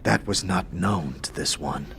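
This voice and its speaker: A man voicing a weary, solemn warrior enslaved by his oath, speaking in grave, formal, halting phrases for an audio drama. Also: low voice, vocal fry, a bit bright, soft background music, full character voice. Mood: grave, sorrowful.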